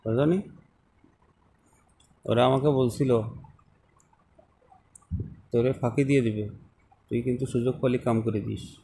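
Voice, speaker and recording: A man's voice: medium pitch, steady tone, unhurried, vocal fry, long pauses, mellow, slightly rough. A young man chats casually on a phone call recording, questioning and insistent. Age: young adult.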